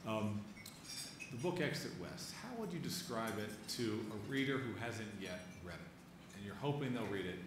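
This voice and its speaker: A man speaking haltingly and deliberately as he moderates a live onstage interview. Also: lowish voice, animated. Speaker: moderator interviewing an author on stage. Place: live event venue. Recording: echoey hall with clear background noise.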